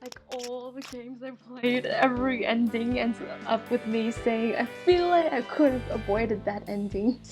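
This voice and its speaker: A woman casually commentating on a game and reading its text aloud, with expressive reactions. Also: a little high, unhurried, few pauses, over music. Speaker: gaming video host. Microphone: earphone mic.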